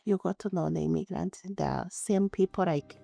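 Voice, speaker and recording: A woman in her thirties, born in Rwanda, earnestly telling her own story in plain, halting phrasing. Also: lowish voice, fairly even intonation, vocal fry, music in the background. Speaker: interviewee. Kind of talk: personal storytelling.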